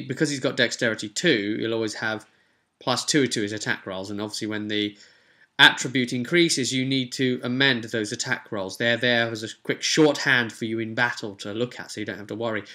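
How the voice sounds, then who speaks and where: Bright-voiced young English man, chatting. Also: medium pitch. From England. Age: 20s. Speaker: host of tabletop roleplay channel. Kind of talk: casual tutorial chat to viewers. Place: small home room, indoors.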